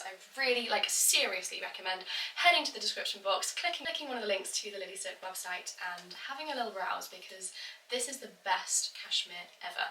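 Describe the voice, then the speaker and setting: A woman's voice: mid-range voice, sharp tone, slight background noise. A woman, still sounding stuffy from a cold, chatting effusively while unboxing sponsored clothes for her vlog. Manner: gushing, effusive. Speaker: vlogger. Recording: echoey room, voice from a distance.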